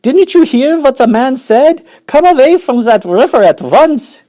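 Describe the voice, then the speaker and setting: A man voicing a panicky, shrill middle-aged mother in a children's storybook reading, shouting high and loud. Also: expressive, measured pace. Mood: frantic shrieking. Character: shrieking panicky mother, umbrella-waving, middle-aged woman.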